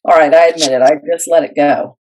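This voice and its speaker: A woman's voice: deep voice, steady tone, fast, darkish tone, a little hiss or hum, poor audio. A woman who is a published author, speaking as an interview guest in an earnest, plain-spoken way with some fillers. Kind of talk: casual interview.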